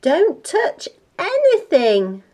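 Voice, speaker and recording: A woman's voice: very high-pitched, huge pitch swings, very slow, darkish tone, voice pushed up, exaggerated voice. A woman voicing a harried, exasperated mother elephant in a bossy, emphatic way, for a children's storybook. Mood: alarmed, firm.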